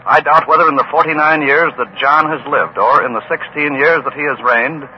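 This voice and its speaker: An actor playing a sober American correspondent reporting from the field in 1940s radio news style. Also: male voice, fairly high pitch, a little fast, natural voice. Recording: dull old radio recording, faint noise.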